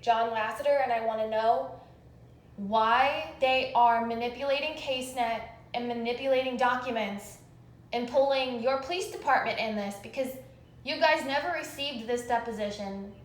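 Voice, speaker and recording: A woman's voice: fairly high pitch, normal speed. A woman calling in a complaint over the phone, explaining insistently and in detail. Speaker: caller making a complaint to police. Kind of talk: phone call, recounting a complaint. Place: recorded phone call, echoey. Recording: echoey phone call recording.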